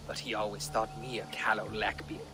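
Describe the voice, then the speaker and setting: An actor playing a proud, brash and loyal Viking warrior with formal, archaic phrasing in a video game. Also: male voice, on the low side, little pitch movement, fairly quick, close to an everyday voice. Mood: guarded. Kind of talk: dramatic video game acting. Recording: clear background noise of game ambience.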